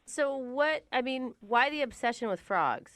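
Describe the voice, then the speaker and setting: A woman radio co-host with a fairly high, very lively voice in a dry studio. She reacts with exclamations and teases playfully. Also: a little slow, no room sound. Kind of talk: playful morning radio banter. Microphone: close studio microphone.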